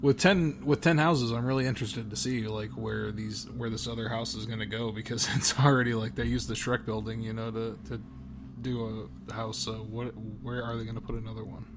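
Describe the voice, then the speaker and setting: A man's voice: on the low side, fairly even intonation, a little fast, background noise. A man hosting a podcast in casual, joking chat, hesitant and restarting his sentences. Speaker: podcast host.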